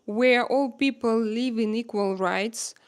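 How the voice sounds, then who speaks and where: A woman, not a native English speaker, hosting a live chat with viewers and speaking hesitantly with many pauses to find her words. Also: on the high side, smooth. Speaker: livestream host chatting with viewers. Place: home in Spain.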